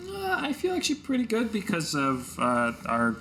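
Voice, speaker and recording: A man's voice: high voice, wildly swooping pitch, moderate pace, bright, forceful tone, a little hiss or hum. A man improvising casually as a player in a tabletop game, a little hesitant and wry.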